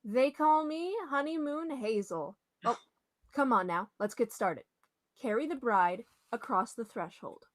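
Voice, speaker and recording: A woman's voice: a little high, expressive, a little slow. A woman playing a nosy, bossy, know-it-all hotel attendant in an old-time radio comedy mystery, with a brash, exclamatory manner. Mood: knowing, pushy.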